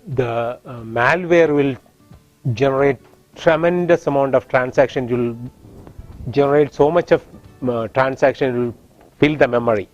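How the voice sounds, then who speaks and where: A man from India with an Indian accent, teaching a course and explaining technical points with frequent pauses and restarts in a classroom. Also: medium pitch, muffled recording, faint music behind. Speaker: course instructor. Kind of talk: classroom lecture.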